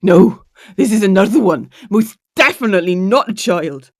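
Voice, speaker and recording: A woman's voice: medium pitch, animated, normal speed. A woman playing a fretful, superstitious house cook in a comic Gothic audio drama, melodramatic and rustic in her speech. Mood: nervous, breathless recounting. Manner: melodramatic, exclaiming, wailing.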